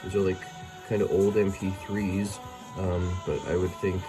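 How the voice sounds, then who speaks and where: A man's voice: deep voice, flat delivery, moderate pace. A man giving a casual hands-on product review, thinking aloud hesitantly, as an amateur reviewer. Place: indoors by a window. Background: music tracks played as demonstration.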